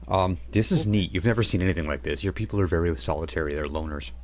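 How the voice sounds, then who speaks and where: A man's voice: deep voice, fast, a little hiss or hum. A man running a tabletop role-playing game over voice chat, narrating loosely with many fillers and restarts. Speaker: game master.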